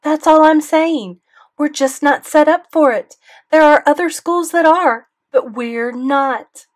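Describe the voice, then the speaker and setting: A woman reading aloud, voicing an indignant, furious mother who talks in a shrill, strident voice. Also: expressive, fairly quick.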